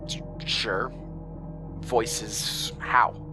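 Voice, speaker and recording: A man's voice: on the low side, fairly even intonation, very slow, over music. A man acting in a horror audio drama as a weary, anxious character who coaxes answers and narrates his ordeal. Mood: wary, urgent. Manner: hesitant, stammering in dialogue.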